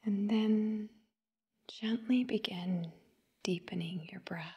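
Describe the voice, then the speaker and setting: A woman guiding a meditation in a soft, breathy, whispery voice, gentle and soothing, in short phrases with long pauses. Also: medium pitch, steady tone, muffled recording. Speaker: meditation guide. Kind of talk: guided meditation narration.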